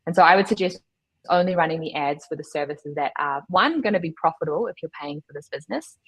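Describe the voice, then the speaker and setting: A woman, giving measured, instructive explanations as an interview guest. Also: sits low, poor audio. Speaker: guest, digital agency chief executive.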